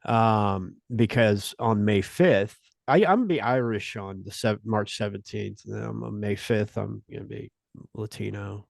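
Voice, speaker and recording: Gravelly low-voiced male podcast host. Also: no room sound, clean studio sound. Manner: rambling, halting, restarts often. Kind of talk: casual podcast chat.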